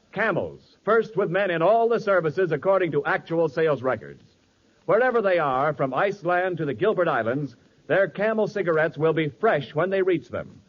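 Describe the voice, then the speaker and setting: An American man announcing in the dramatic, scripted style of 1940s radio advertising. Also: fairly high pitch. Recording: dull 1940s radio recording.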